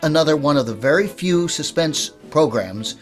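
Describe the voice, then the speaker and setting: A man's voice: on the high side, expressive, normal speed. Man hosting over background music. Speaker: host and interviewer. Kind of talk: podcast-style introduction and interview.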